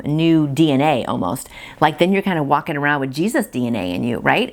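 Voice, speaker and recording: Lower-pitched woman reading a devotional. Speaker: devotional host and reader. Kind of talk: devotional reading with casual asides.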